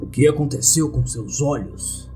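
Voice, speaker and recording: A Brazilian man voicing a calm, reassuring male paramedic who questions a patient in a horror story. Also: mid-range voice, measured pace, soft background music, natural voice. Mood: concerned. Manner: reassuring, inquisitive.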